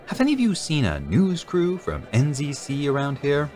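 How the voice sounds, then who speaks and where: A man playing a polite gentleman party guest in a comic audio drama. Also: a little high, huge pitch swings, moderate pace. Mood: apologetic. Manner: courteous.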